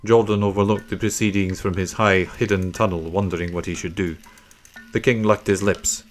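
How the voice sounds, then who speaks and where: A man's voice: low voice, steady tone, normal speed. A man narrating a children's story from a script. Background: faint music.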